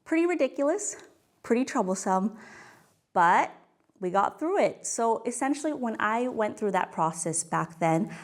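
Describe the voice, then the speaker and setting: A woman's voice: a little high, expressive, normal speed. A woman in her thirties from California with an American accent, hosting a webinar and explaining things in a chatty, emphatic, reassuring way. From California, United States. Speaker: webinar host, tax expert. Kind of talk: webinar presentation, conversational explaining. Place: office, indoors, at a desk.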